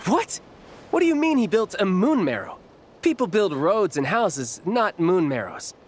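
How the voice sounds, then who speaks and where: An actor playing an excitable, cheeky young warrior in a video game, with an expressive, boyish delivery. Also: male voice, sits high, a little slow, a little hiss or hum. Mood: incredulous. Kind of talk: English video game voice acting. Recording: game music behind the voice.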